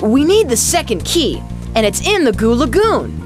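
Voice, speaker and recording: A woman's voice: high-pitched, sing-song, normal speed. A woman voicing a plucky, eager young boy adventurer in a children's cartoon game. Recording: music underneath.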